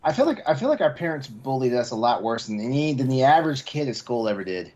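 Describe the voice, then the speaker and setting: A man's voice: mid-range voice, sing-song, fast talker, darkish tone. Emphatic man on slightly lo-fi audio. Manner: emphatic, repeats phrases as he goes. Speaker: podcast co-host. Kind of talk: casual, candid chat.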